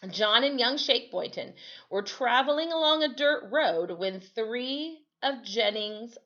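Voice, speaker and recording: A woman reads a history story aloud like a teacher at storytime. Her pitch moves around a lot, giving the telling an animated, expressive feel. The recording is slightly muffled and lo-fi. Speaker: storyteller reading aloud to children.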